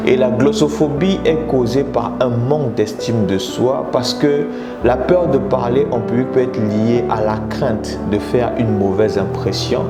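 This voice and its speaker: A man from Congo, a public speaking coach hosting a live stream, teaching in numbered points. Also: medium pitch. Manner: teaching in numbered points, urging viewers to share. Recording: echoey room with loud guitar music behind. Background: loud guitar music.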